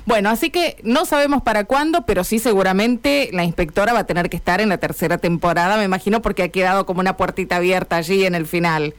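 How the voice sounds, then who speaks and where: Smooth-voiced woman radio co-host. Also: medium pitch, fast talker, few pauses, no echo. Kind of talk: radio interview.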